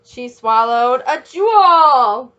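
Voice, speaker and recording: A woman reading a picture book aloud as a children's teacher, encouraging young listeners with questions and pausing for their answers. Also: high-pitched, expressive, pushed and bright, lo-fi sound, slight background noise.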